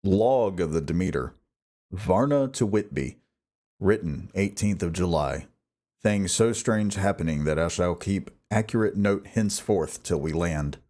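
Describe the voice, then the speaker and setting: A man's voice: low-pitched, animated, measured pace, darkish tone. A man reading a classic novel aloud as scripted narration. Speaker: audiobook reader.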